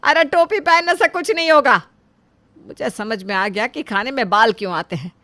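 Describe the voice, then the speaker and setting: A woman acting a bright, nagging mother-in-law. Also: a little high, expressive, normal speed. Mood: exasperated. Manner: scolding, exclamatory.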